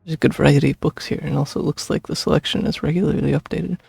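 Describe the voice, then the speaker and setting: Very gravelly, husky man streaming a game. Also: a little high, steady tone, fast, darkish tone, no echo. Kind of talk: casual game commentary and reading aloud. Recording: dry close mic, game music behind.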